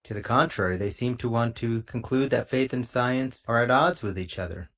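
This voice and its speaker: A middle-aged man speaking as a podcast host and interviewer, with an articulate and measured delivery. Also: medium pitch, fairly bright tone.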